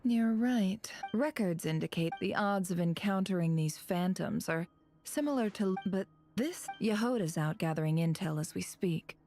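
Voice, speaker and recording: A woman playing a composed female scholar-official in a video game, formal and measured as she explains. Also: lowish voice, animated, warm tone, faint music behind. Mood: measured, serious.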